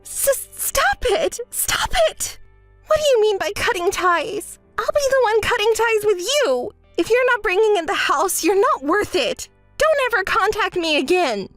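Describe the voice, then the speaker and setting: A woman's voice: very animated, a little slow, no room sound, faint music behind, exaggerated voice. A woman voicing a spoiled, entitled young woman in a melodramatic story, whiny and demanding. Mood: furious. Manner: whiny, demanding, shrill outbursts.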